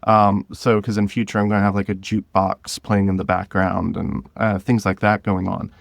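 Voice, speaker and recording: A man talks as a guest in a podcast interview. His voice is a little low, and the sound is dry with no room echo. He explains things in a casual, conversational style. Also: flat delivery, moderate pace, vocal fry. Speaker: podcast guest, scriptwriter of an audio drama.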